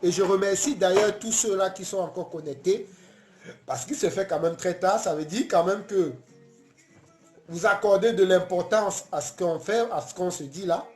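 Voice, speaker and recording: A man from Cameroon, hosting a casual late-night live stream, talking earnestly and passionately with many halting restarts. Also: high voice, darkish tone, reverberant, soft background music.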